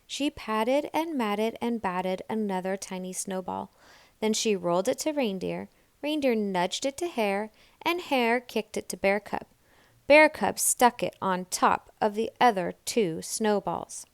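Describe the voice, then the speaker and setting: A woman reading a children's story aloud as scripted narration, in a deliberate, expressive storytelling manner. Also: medium pitch, gravelly, clear, resonant tone, no room sound. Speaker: storybook reader.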